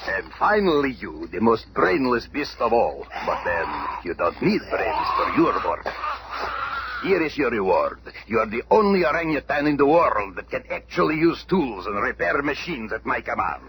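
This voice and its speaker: An actor playing a grandiose, gloating villain scientist who commands trained apes, in 1970s superhero radio drama style. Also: male voice, medium pitch, very animated, normal speed, crowd noise. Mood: gloating, commanding. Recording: very noisy old radio recording.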